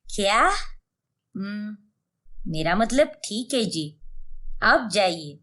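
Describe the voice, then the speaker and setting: A woman playing a doting, boastful middle-aged mother in an animated moral story, with a coaxing, effusive manner. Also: medium pitch, slow, voice pushed down. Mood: flustered.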